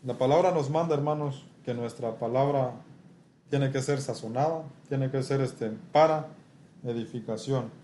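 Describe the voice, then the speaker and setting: A male preacher with a soft, mellow voice teaches from scripture. He speaks haltingly and often checks in with short rhetorical questions. There is faint noise and room ambience behind him. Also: medium pitch.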